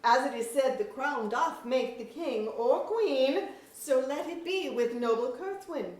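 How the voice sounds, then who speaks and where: Stage chamberlain played by a woman, loyal and scolding, slow rhetorical delivery with sweeping pitch, distant in an auditorium. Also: animated, a little mellow. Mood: stern, lecturing. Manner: rhetorical, emphatic, archaic formal diction. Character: proud, witty Lord Chamberlain of a fantasy court, loyal, scolding. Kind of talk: staged reading of mock-Shakespearean verse drama. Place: museum auditorium. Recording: echoey auditorium, distant mic.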